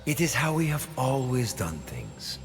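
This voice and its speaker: An actor playing a suave, scheming conspirator in a video game, speaking with theatrical, deliberate menace. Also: male voice, medium pitch, huge pitch swings, over music. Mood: smug, persuasive. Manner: theatrical, deliberate, silky persuasion. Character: suave, scheming older male conspirator mastermind, patient and menacing. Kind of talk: video game cutscene acting.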